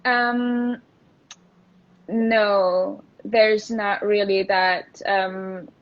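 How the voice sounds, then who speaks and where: A woman's voice: medium pitch, flat delivery, drawn-out words. A young woman from Austria, a guest in a casual interview chat, thoughtful and full of fillers and restarts. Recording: lo-fi video call audio.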